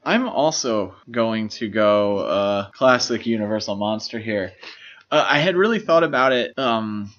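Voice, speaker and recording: A man's voice: lowish voice, lively intonation, measured pace, a bit bright, muffled recording. A man co-hosting a podcast, talking casually about films. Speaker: podcast co-host. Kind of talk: casual film discussion.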